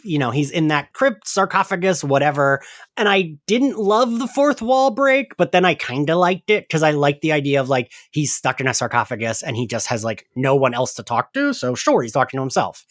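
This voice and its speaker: A man's voice: a little high, sing-song, normal speed, fairly bright tone, no echo, studio quality. A man hosting a podcast in casual chat, conversational and peppered with "like" and tag questions. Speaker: podcast host.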